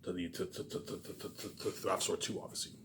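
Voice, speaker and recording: Fast-talking man, rambling and repetitive. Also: low-pitched, fairly even intonation, a bit bright, slight background noise. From Canada. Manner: repetitive, halting, with habitual trailing tags; emphatic, profane bursts dropping to muttered asides. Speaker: vlogger. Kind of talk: rambling informal monologue.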